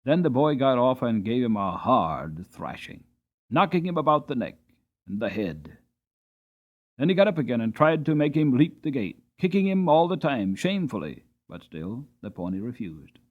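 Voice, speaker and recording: A man's voice: mid-range voice, sing-song, normal speed. Dramatic male storyteller, narrow-band sound. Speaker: audiobook narrator. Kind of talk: dramatic scripted storybook narration.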